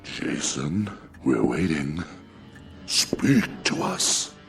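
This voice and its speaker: An actor playing a pompous, grasping middle-aged businessman with a measured, theatrical manner in a radio drama. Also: very deep voice, very animated, creaky, a bit bright, soft background music, exaggerated voice. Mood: indignant, exasperated.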